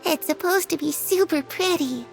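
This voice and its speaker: A woman's voice: extremely high pitch, moderate pace, vocal fry, soft background music. A woman voicing a lonely, fragile young girl in a video game, dreamy and hesitant. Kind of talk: video game character dialogue.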